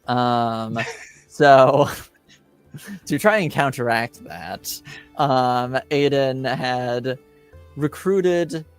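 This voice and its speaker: A male game master with a medium-pitched voice recaps a tabletop game session. He talks off the cuff in a halting way, searching for words, while music plays clearly behind him. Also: no echo. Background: background music.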